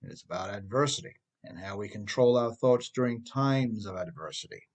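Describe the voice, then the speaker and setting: A man's voice: lowish voice, animated, moderate pace. A man reading his own self-help book aloud as scripted narration, in an instructive manner that speaks directly to the listener.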